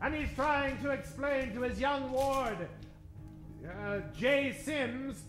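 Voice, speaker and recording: Bright, high-pitched grandiose male radio announcer. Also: takes it slowly, reverberant, faint music behind. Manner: grandiose showman delivery. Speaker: host and announcer of a live radio-theater show. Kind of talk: theatrical old-time radio announcing. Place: live stage before an audience.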